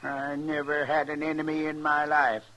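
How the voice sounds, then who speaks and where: A stern frontier farmer in an old-time radio western. His voice is very sharp and forceful, sitting a little high, with pompous, preachy, commanding delivery. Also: monotone, moderate pace, a little hiss or hum, rough recording. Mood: indignant, demanding. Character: stern self-righteous frontier farmer, domineering husband. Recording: vintage radio recording.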